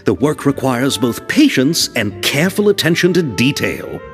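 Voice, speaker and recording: An actor playing a timid, earnest young filmmaker in a video game, hesitant, stammering and effusively grateful. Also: male voice, medium pitch, big pitch swings, normal speed, long reverb tail, close to an everyday voice. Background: game music.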